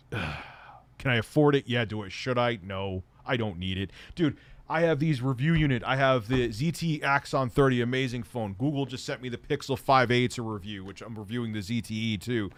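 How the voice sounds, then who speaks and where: A man's voice: mid-range voice, normal speed. Profane, rambling male livestream host. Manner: profane, rambling, animated, laughs often. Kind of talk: casual livestream chat reading viewer comments.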